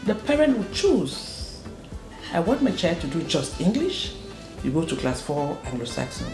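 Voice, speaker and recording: Earnest low-voiced woman in a roomy space. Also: very animated, normal speed. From northwest Cameroon. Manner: earnest, emphatic, repeats words for stress. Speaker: school proprietor and educator. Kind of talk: interview answers. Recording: roomy echo with faint music.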